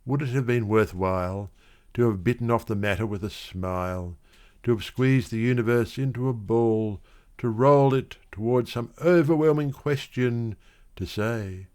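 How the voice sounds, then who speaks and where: A man reciting literary verse dramatically, with measured, expressive phrasing. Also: mid-range voice. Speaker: poetry reader.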